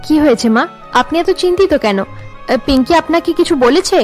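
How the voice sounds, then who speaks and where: A woman's voice: high voice, animated, moderate pace, faint music behind. A woman voicing a kind, concerned and respectful daughter-in-law in a cartoon family drama. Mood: surprised, concerned. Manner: caring, solicitous. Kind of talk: cartoon family melodrama acting.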